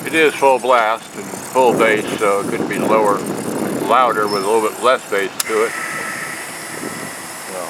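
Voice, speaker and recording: A man giving a casual, rambling demonstration, halting and often talking to himself, recorded outdoors. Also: lowish voice, steady tone. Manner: halting, mumbly asides to self. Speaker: amateur video maker demonstrating a portable cassette radio. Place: outdoors at work, near vehicles. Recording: outdoors with loud music, vehicle noise. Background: loud music from a portable radio.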